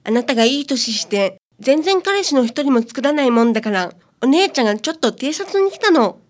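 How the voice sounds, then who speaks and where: A woman's voice: sits high, very animated, a little fast. A woman playing an alluring, teasing older sister with refined, coquettish speech in a radio drama. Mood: teasing, coy.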